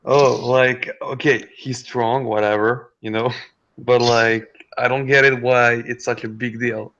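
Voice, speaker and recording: Man, gravelly, offhand, dull call audio. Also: medium pitch, little pitch movement, a little slow, a bit bright, slight background noise. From France. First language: French. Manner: offhand, dismissive. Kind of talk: casual group chat.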